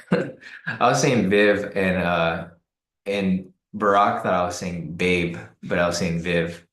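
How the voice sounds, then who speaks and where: A man hosting a live trading stream, hoarse and raspy from the cold, talking casually with many fillers and repeated words. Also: sits low, slow, a bit bright, reverberant. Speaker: trading livestream host. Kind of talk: casual live-stream commentary answering chat.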